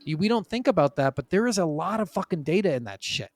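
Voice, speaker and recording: A man's voice: high voice, expressive, fast, no echo, clean studio sound. A man hosting a podcast, talking casually and emphatically from his notes, with plenty of swearing. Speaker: podcast host.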